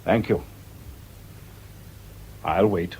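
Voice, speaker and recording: An actor playing a calm, authoritative, probing doctor in a vintage radio drama, with a British accent and a measured, soothing, clipped manner. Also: male voice, sits low, vocal fry, husky, heavily acted. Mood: brisk urgency.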